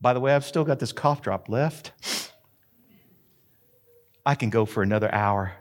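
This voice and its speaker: A man in his fifties preaching a sermon as pastor from a church platform, animated and breaking into shouts and laughs. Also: mid-range voice, normal speed. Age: 50s.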